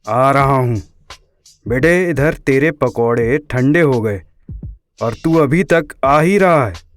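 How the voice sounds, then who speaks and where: A man's voice: medium pitch, animated, takes it slowly, faint music behind. Man acting a sarcastic, exasperated scolding father.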